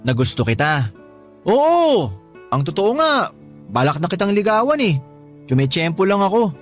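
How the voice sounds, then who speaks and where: A smooth-talking young suitor with a fairly high voice, charming on the surface but pushy and demanding. Faint music plays behind him in this radio drama. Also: male voice, very animated, normal speed. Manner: charming at first, then demanding. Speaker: suitor Dennis.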